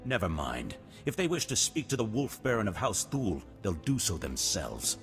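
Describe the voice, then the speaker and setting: An actor playing a noble, resolute duke in a video game, husky, formal and stately in delivery. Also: male voice, lowish voice, very animated, moderate pace. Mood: firm, noble. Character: noble, resolute older duke, solemn war leader. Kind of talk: cinematic video game voice acting. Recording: long hall reverb, music behind.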